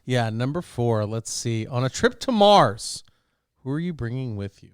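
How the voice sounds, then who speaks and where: A man with a clear, smooth voice at a medium pitch, recorded without any room echo. He banters casually as a podcast co-host, often playfully mock-serious. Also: sing-song, normal speed, studio quality.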